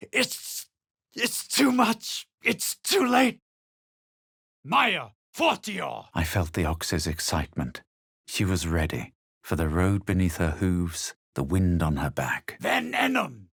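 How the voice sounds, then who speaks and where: A man voicing a haughty, self-assured young fantasy adventurer for a video game, with measured, dramatic delivery. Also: medium pitch, creaky, a bit bright, husky, no echo, studio quality, full character voice. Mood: strained, urgent, then intense incantation, then grave. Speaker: player character in a fantasy role-playing game. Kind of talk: video game voice acting, literary narration and exclamations.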